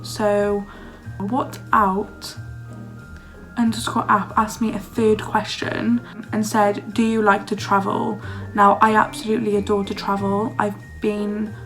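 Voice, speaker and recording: A young woman from Wales in her early twenties, chatting casually through viewer questions, her voice a little hoarse and croaky. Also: mid-range voice, flat delivery, slow pace, a bit bright, faint music behind, low-quality recording. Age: young adult. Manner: chatty, lots of "like", laughs often. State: slightly hoarse, losing her voice. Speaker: vlogger. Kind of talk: casual Q&A chat. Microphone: phone camera.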